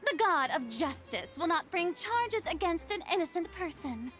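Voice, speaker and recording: A showy young goddess of justice from a video game. The voice is high and sweeps dramatically up and down with haughty grandeur, over background music. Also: female voice, sing-song, moderate pace, fairly bright tone, exaggerated voice. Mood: amused, grandiose. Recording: music under the voice.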